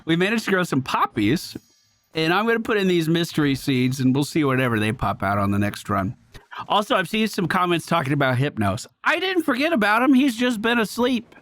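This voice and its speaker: A man streaming a game with chatty, informal commentary. His voice is high for a man and swings around a lot in pitch, recorded dry and close. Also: very animated, brisk, a bit bright, no room sound. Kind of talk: casual gameplay commentary.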